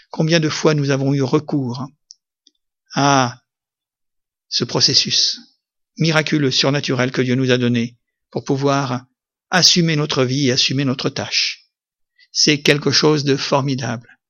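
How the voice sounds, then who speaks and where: A male pastor delivering a sermon in a somewhat high voice. He preaches emphatically, pushing up to loud, ringing peaks and then sinking back to quieter stretches. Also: highs cut off.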